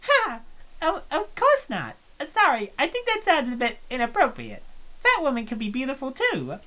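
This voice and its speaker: A woman's voice: sits high, wildly swooping pitch, moderate pace, sharp tone, a little hiss or hum, exaggerated voice. A woman voicing a hesitant, somewhat apologetic college girl in a fan-voiced visual novel. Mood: doubtful.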